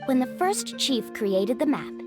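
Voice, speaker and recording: A woman's voice: high voice, big pitch swings, a little slow, over music. A woman voicing a cheerful, confident young adventure guide in a video game, explaining things in an upbeat, playful way. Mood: confiding, explaining. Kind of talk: animated video game character acting.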